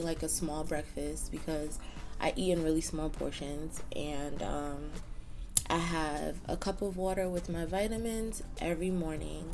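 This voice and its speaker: A young woman from Queens talks in a relaxed, chatty way, with a fairly low voice. She scatters fillers through her sentences and laughs easily. Faint music sits behind her. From Queens, New York City. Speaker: vlogger. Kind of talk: casual get-to-know-me chat.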